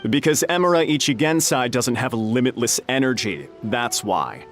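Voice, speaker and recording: A man plays a brusque clinic doorman in a game, speaking at an ordinary mid pitch. He brushes visitors off with a dismissive, chuckling manner. Faint music sits underneath. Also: animated, normal speed, lightly acted. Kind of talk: video game voice acting.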